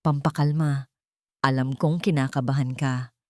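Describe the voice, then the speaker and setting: A woman's voice: rumbling low, fairly even intonation, a little slow, no echo, voice pushed down. Lady doing a bossy mean bestie villainess, snappy and domineering, audiobook drama, dry close sound. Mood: coaxing. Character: haughty, scheming woman, a treacherous best friend, bossy and menacing.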